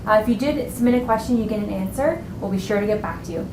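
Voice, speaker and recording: Woman moderator, polite scripted delivery, roomy echo with rumble behind. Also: lively intonation, quick pace. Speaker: webinar moderator. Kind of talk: reading audience questions and closing remarks. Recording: echoey room with rumble.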